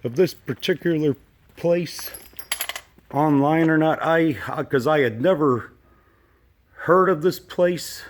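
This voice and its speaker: A man with a slightly froggy voice from allergies, giving halting, musing, folksy commentary as he walks around exploring with a handheld camera. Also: a little high. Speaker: urban exploration video maker. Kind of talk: casual unscripted walk-through commentary. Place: walking around an abandoned park site.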